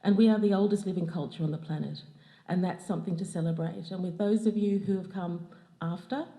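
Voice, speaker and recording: An Australian woman giving a formal acknowledgement of country, speaking in an earnest, measured way. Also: lowish voice, mellow. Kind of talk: formal ceremonial address. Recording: echoey hall.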